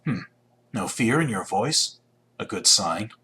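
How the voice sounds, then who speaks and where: An actor playing a confident, coolly ruthless sci-fi schemer in a video game, wry and clipped, with a rough husky voice. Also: male voice, lowish voice, expressive, slow pace, pushed and bright, heavily acted.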